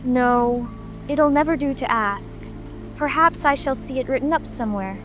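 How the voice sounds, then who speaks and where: High-voiced, curious young girl acted by a woman. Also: normal speed, soft background music, lightly acted. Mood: curious wondering. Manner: musing aloud to herself. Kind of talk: dramatic audiobook reading.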